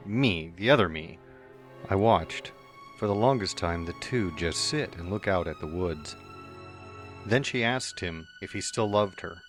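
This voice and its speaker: Male narrator, deep voice, earnest first-person reading over faint music. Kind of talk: fan audiobook reading. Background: faint background music.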